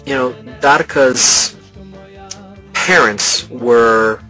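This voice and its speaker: A man's voice: mid-range voice, drawn-out words, pushed and bright, faint music behind. A man in his forties from the States, speaking thoughtfully in a documentary interview, often hedging his words. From United States. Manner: thoughtful, hedging, hand on chin. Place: indoor studio, red backdrop.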